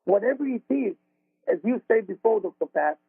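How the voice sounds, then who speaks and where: An Israeli-accented man with a high, gravelly voice talks earnestly as a spiritual teacher on a radio show. His English is broken and his phrasing plain and confessional. The sound is dry and thin, like a phone line. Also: animated, moderate pace, no room sound. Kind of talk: radio talk, storytelling.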